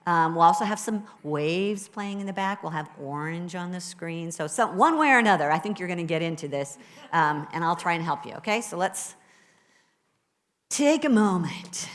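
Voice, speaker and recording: A woman minister with a low voice, preaching a sermon and leading a guided meditation in a conversational, encouraging way to a live congregation. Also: animated, moderate pace. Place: church sanctuary with a live congregation. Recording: live church recording with long reverb.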